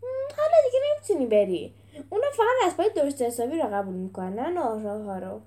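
A woman's voice: high voice, very animated, dark tone. A woman voicing an arrogant male Roman champion gladiator in a storybook, mocking and hot-tempered.

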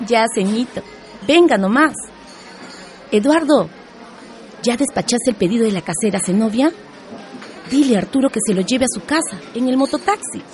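Woman acting a proud, higher-voiced Peruvian mother. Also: lively intonation, moderate pace, pauses often, darkish tone, faint music behind, close to an everyday voice. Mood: brisk. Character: proud, industrious mother and shopkeeper, affectionate but brisk. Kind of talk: educational radio soap acting.

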